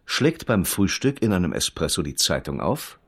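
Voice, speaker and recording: A man narrating a radio crime play as a detached storyteller, terse and dry, with a husky voice. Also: sits low, big pitch swings, normal speed, exaggerated voice. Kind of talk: scripted radio drama narration.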